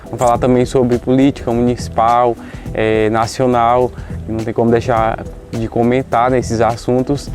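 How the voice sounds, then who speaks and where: A man's voice: medium pitch, flat delivery, moderate pace, a little mellow, faint music behind. A man with a Brazilian accent hosting an interview, asking questions in a friendly, informal way. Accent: Brazilian Portuguese. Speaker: interviewer, channel host. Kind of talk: casual interview.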